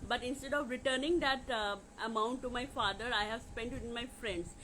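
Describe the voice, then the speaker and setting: A woman with an Indian accent talking informally to viewers as a coach on a livestream, in a halting, repetitive way with many filler phrases. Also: a little high, steady tone, a bit bright, slight background noise, poor audio. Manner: repetitive, halting with frequent "you know". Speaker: life coach hosting a live question session.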